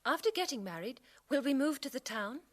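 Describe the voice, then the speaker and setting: Sweet young village bride to be, highish voice, radio drama. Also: female voice, lively intonation, a little fast. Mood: concerned. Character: young village bride-to-be, sweet but anxious about progress.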